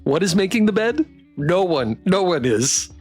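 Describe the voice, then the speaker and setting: Lively game streamer with music behind. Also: mid-range voice, normal speed, breathy edge. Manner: playful, expressive. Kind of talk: live visual novel playthrough, reading aloud and chatting.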